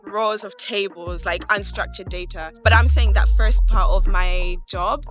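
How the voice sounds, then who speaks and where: A woman's voice: medium pitch, steady tone, measured pace, faint music behind. A young British woman chatting informally as an interview guest, often dropping "like" into her sentences. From grew up in Manchester, England; born in Malawi. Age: young adult. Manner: chatty, informal, peppers speech with "like". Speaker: podcast guest, commercial analyst. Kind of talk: casual conversational interview answers.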